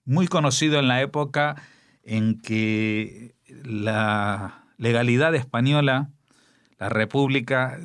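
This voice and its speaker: A man with an Argentine accent, a radio news commentator who reads a poem aloud in slow, solemn recitation, letting lines trail off quietly. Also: mid-range voice, clean tone, no room sound, clean studio sound. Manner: slow, solemn recitation with dramatic pauses and hushed endings. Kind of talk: radio commentary and poetry reading.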